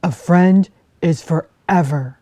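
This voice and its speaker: A woman voicing a big brown male bear, speaking loud and slow in a children's storybook reading. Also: lowish voice, no echo. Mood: gentle.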